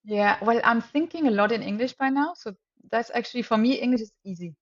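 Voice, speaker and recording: A woman's voice: a little high, brisk, dull audio. A woman whose first language is German, chatting as a podcast guest with enthusiasm and frequent laughter, sometimes correcting herself mid-sentence. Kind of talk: casual podcast conversation.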